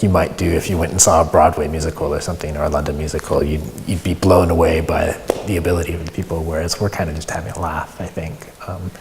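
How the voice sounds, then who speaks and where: A man's voice: sits low, a little mellow, a little hiss or hum. An American man giving reflective, conversational answers in an interview. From United States. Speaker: community theatre participant. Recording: reverberant hall.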